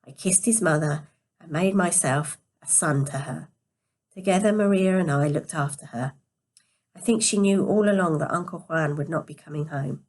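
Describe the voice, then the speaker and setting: A woman reads a story aloud in a quiet, sleepy voice, careful but with the occasional stumble over the words. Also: low-pitched, normal speed. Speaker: storyteller reading a novel aloud. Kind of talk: scripted story narration.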